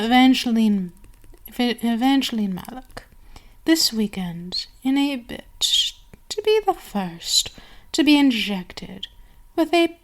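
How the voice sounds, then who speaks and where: Woman playing a very slow, commanding vampire queen. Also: fairly high pitch, heavily acted. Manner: slow, deliberate, domineering, with savoring pauses. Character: commanding seductive vampire queen addressing her enslaved servant. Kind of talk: ASMR roleplay, scripted monologue.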